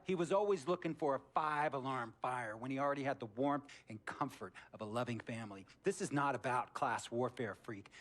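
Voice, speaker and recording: An actor playing an eccentric, wealthy, middle-aged boss in a video game cutscene, lecturing in a theatrical storytelling manner that swings between hushed and emphatic. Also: male voice, medium pitch, lightly acted. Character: eccentric wealthy sports boss, preachy, slick, controlling man. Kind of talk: dramatic game cutscene monologue.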